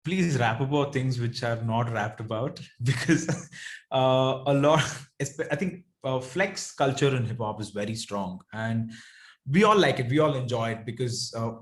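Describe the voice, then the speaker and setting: A man with a South Indian accent talks as a guest in an interview. His voice sits at a medium pitch. He is reflective and informal, often checking in with the listener as he explains. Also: lively intonation, moderate pace. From Bengaluru, India. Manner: reflective, checks in with "you know" and "right". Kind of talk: casual interview conversation.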